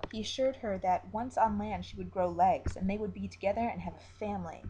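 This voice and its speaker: A woman reading a story aloud as narrator, in an expressive amateur storytelling style. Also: medium pitch, brisk, slight background noise, poor audio.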